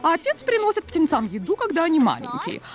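A playful cartoon presenter, high and wildly lively in pitch. She sounds bright and animated. Loud music plays behind her. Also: brisk, heavily acted.

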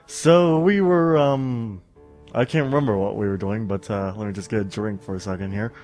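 A man's voice: lowish voice, moderate pace, a little mellow, faint music behind. A stuffy-sounding young man on a studio mic, casually rambling through gameplay commentary with lots of fillers and humming. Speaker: let's play host.

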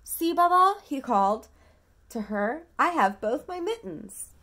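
A woman in her thirties reading a picture book aloud to a toddler at home, dramatically, pausing to ask simple questions. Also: sits high, very animated, a bit bright. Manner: dramatic, childlike questioning and prompting. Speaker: parent reading a bedtime story to a toddler. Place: small quiet room at home.

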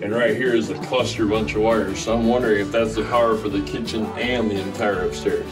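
A man's voice: on the low side, steady tone, brisk, music in the background. A middle-aged man casually explaining house wiring work, practical and self-joking. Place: home interior.

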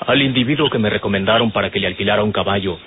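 A man plays a respectful young Mexican man who explains himself carefully and earnestly. His voice is fairly low, in an old radio drama with a tinny, narrow sound and faint noise. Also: very fast, natural voice. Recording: noisy, narrow-band old radio recording.

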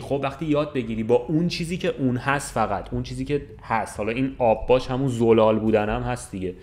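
A man's voice: mid-range voice, a little mellow. A man in casual podcast chat, explaining things colloquially and checking in with the listener often. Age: 20s. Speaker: podcast co-host. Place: small bright room with large window.

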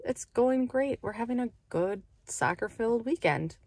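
Chatty mom vlogging into a phone. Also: female voice, fairly high pitch, animated, a little mellow. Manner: chatty, a bit rushed while walking and carrying things. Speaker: vlogger, mother of teenagers. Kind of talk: casual on-camera chat. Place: car, hotel hallways and parking lot. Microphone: phone held at arm's length. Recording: phone audio with clear background noise.

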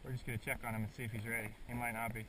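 A man's voice: medium pitch, little pitch movement, very fast. A man chatting casually and joking lightly while fishing outdoors in a river, filming on an action camera. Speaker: amateur angler filming a fishing trip. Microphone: action camera worn or handheld. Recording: distant action-camera audio, loud river noise.

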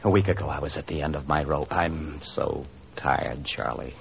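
Hunted villain uncle, middle-aged, very deep and manipulative, vintage radio sound. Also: male voice, moderate pace, gravelly. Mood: desperate pleading. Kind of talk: 1940s radio acting. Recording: dull, faintly noisy 1940s radio recording.

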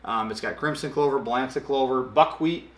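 A man in his thirties talks a product through in a relaxed, unhurried way, sprinkling in ums as he explains. His voice sits at an ordinary middle pitch, and the room adds a noticeable echo around it. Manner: relaxed explaining with hand gestures and filler ums. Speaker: product expert. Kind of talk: casual product talk. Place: dark studio set, indoors. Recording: roomy echo.

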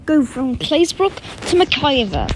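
High-voiced woman over loud train rumble. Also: takes it slowly. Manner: repeats announcements, excited exclamations. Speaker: train video narrator. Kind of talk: casual commentary over a train ride video. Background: rumbling train noise.

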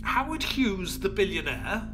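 A man's voice: high-pitched, sing-song, a little slow, music in the background. An actor playing a detective's loyal male sidekick in an audio drama, earnest, inquisitive and sceptical. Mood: attentive.

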